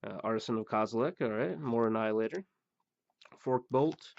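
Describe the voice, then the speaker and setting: A man hosting a pack-opening show, rambling through the cards with many fillers and blunt remarks, his voice going hoarse. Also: mid-range voice, fairly quick, darkish tone. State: losing his voice. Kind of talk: casual card-opening commentary. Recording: dull, dry recording.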